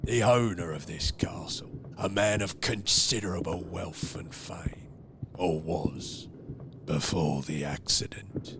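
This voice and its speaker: An actor playing a creepy, solemn castle butler in a comedy horror radio play, speaking in an ominous, formal, gravelly drawl. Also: male voice, sits low, background noise. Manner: ominous, formal, drawn-out delivery. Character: creepy, solemn male castle butler serving a strange Count.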